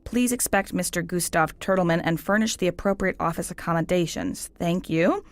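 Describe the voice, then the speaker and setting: An actress playing a sensible, exasperated co-boss in an audio comedy, brisk and dryly corrective. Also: female voice, fairly low pitch, natural voice. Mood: exasperated, businesslike.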